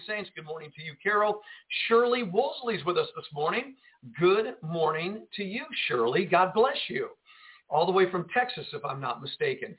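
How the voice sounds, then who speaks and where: A man, a pastor and radio host, preaching fervently and greeting listeners on a live broadcast, swinging between loud emphasis and quieter asides. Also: sits high. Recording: tinny phone-line audio.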